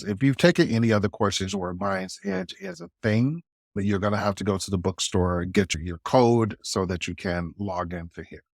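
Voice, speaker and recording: A man's voice: on the low side, vocal fry, dry recording, clean studio sound. A man speaking informally and directly to viewers as he walks them through things like a student video creator. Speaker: student video creator giving a course walkthrough. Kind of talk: casual explanatory walkthrough.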